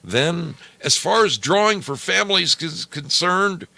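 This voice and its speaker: A man voicing a brisk, formal village official, giving directions in an emphatic, businesslike way. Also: high voice, expressive, creaky, sharp tone, no room sound, voice pushed up, full character voice.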